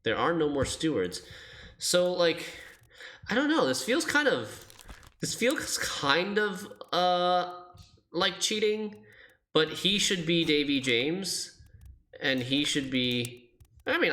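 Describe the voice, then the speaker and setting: A streamer giving live gameplay commentary, thinking aloud in an animated, self-deprecating way. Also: mid-range voice, takes it slowly.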